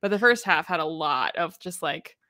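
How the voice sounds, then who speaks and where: A young woman co-hosting a book livestream in casual chat, with a wry, animated way of telling things. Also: sits low, moderate pace. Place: small book-lined room at home, on a video call.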